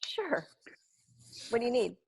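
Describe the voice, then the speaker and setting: Suburban TV mom, friendly and attentive, slightly high natural voice, table-read delivery. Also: female voice, sing-song, unhurried, vocal fry, husky, full character voice. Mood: cheerful, curious.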